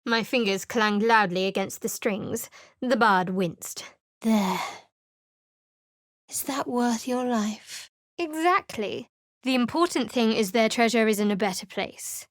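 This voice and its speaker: A woman's voice: a little high, no echo, close to an everyday voice. An actress voicing a guarded, sardonic young woman cleric with secrets, in studio game voice lines, with a dry, wry and cool way of speaking. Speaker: companion character in a video game.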